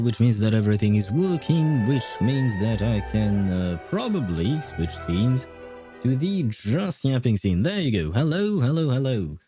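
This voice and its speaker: Low-pitched man chatting on a livestream. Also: dark tone, no room sound. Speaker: livestream host. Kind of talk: casual livestream chat with viewers.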